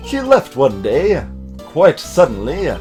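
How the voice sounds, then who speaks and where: A man voicing a pompous, evasive older lawyer from a video game, theatrical and halting, with formal old-fashioned phrasing. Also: fairly high pitch, huge pitch swings, a little mellow, soft background music, exaggerated voice. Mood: wistful.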